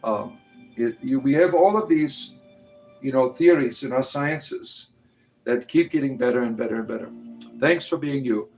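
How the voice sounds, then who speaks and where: A man's voice: medium pitch, moderate pace, darkish tone, low-quality recording. Rambling man in his forties with faint music. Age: 40s. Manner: rambling, repeats "right?" often. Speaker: livestream host. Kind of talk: casual livestream chat reading comments.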